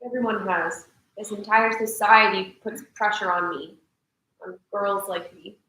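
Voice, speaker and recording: A woman's voice: medium pitch, steady tone, a little slow, pushed and bright. A young woman acting a traumatized, defensive and reluctant young woman in a staged play reading. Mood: bitter, hurt. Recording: echoey room, distant mic.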